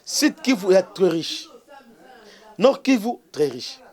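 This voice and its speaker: A man's voice: high-pitched, sing-song, darkish tone. A man with a Congolese French accent, a bishop hosting his own channel, giving an impassioned political commentary full of hesitations and stray Lingala words. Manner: halting, many fillers and restarts, mixes in Lingala.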